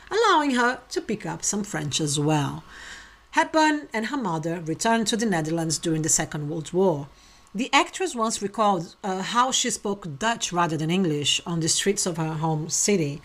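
A woman hosting a video, narrating a short biography and introducing guest speakers in an expressive, emphatic way. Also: fairly low pitch. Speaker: host.

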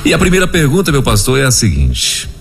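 A man's voice: medium pitch, wildly swooping pitch, fairly quick, breathy edge, faint music behind. A man hosting a live radio program, chatting jovially, laughing often and reading listener questions aloud. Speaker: radio host.

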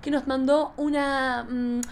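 A woman's voice: sits high, little pitch movement, slow. A woman hosting a casual chat in a playful, campy manner. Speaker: host. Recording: noisy recording with background ambience.

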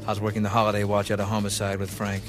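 Actor as a deadpan radio detective, low monotone. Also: male voice, rapid-fire, music in the background, natural voice. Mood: grim, deadpan. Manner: clipped, terse, deadpan delivery. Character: deadpan, weary male homicide police detective, parody radio cop. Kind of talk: 1950s radio police drama parody narration and dialogue.

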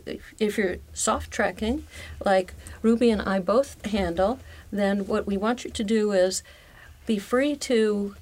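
A woman with a medium-pitched voice, speaking as a guest in an interview. She explains in a conversational, promotional style, earnest and persuasive. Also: a little mellow. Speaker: interview guest, tour company manager.

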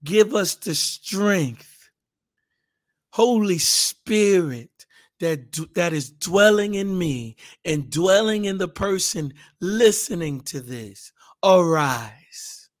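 A man's voice, hoarse and raspier than usual, sitting a touch above typical pitch. He talks slowly and casually as a Bible podcast host, rambling a little, and turns fervent when he prays. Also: animated. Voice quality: raspy.

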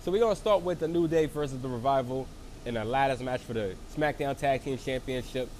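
A man's voice: mid-range voice, brisk, darkish tone, a little hiss or hum. A man with a cold and a froggy voice, rambling through a casual solo recap as a wrestling show reviewer. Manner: rambling, lots of fillers and restarts.

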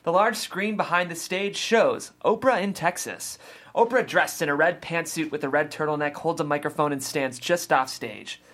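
A narrator reads scene headings and stage directions from a screenplay. The voice sits at a medium pitch and follows the script as scripted narration. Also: normal speed, a bit bright.

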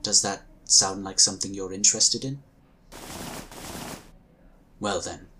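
A courteous galactic inquisitor with a lowish, clear-edged bright voice. He speaks formally and reassuringly, as a recruiter of gifted children in a sci-fi audio drama, with noticeable background ambience. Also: steady tone, a little hiss or hum, heavily acted. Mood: gentle, welcoming. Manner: formal, measured, polite wording.

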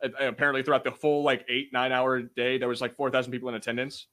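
A man's voice: mid-range voice, level tone, fast, a bit bright. Casual, joking man on a podcast. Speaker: podcast co-host. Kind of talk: casual banter, storytelling.

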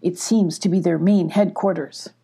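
A woman's voice: lowish voice, steady tone, moderate pace, creaky, dark tone, husky. An older woman voicing a brave, cheeky little patrol leader in a children's animal story, commanding and taunting. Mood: alert.

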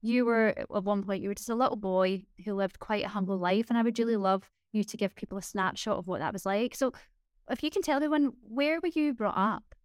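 Enthusiastic woman interviewer, smooth voice. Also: mid-range voice, a little fast, no echo, clean studio sound. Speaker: podcast host, interviewer.

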